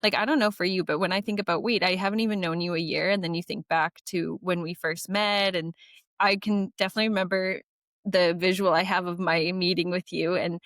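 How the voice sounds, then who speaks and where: Lively Canadian woman co-hosting a podcast. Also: fairly low pitch, a little fast, dry recording, clean studio sound. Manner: warm, laughing, lively retelling with acted quotes. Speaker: podcast co-host. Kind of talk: casual conversational interview. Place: remote call from abroad.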